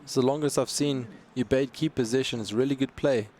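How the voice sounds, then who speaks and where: A man commentating on an esports football match as co-host, with lively play-by-play calls. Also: medium pitch, fairly quick. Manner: lively, rising excitement at chances.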